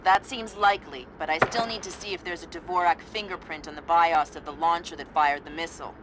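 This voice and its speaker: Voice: mid-range voice, fairly even intonation, fairly quick, a bit bright, slight background noise, lightly acted. An actor playing a businesslike covert intelligence colleague in a video game, analytical and matter-of-fact. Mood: focused, businesslike.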